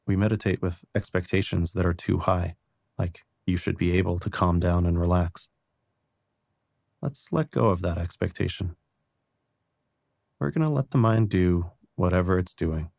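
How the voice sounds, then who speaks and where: A man guiding a meditation in a calm, gentle and unhurried way, reassuring the listener with soft spoken instructions. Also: deep voice, gravelly, no echo, studio quality. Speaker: meditation guide.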